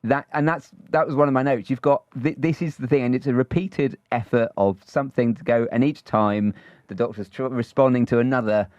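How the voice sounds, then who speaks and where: A man's voice: medium pitch, steady tone, fairly quick, hardly any pauses, darkish tone, no echo, muffled recording. A man co-hosting a podcast in casual chat, rambling and hesitant, with many restarts. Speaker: podcast co-host.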